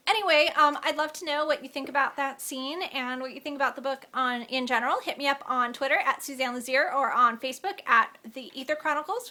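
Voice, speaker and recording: Author lady reading her own novel aloud, chatty asides, crisp bright voice. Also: on the high side. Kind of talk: audiobook-style reading.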